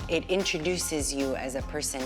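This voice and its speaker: A woman's voice: low voice, normal speed. A woman in her forties speaking thoughtfully in an interview. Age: 40s. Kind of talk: candid sit-down interview. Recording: faint music behind voice.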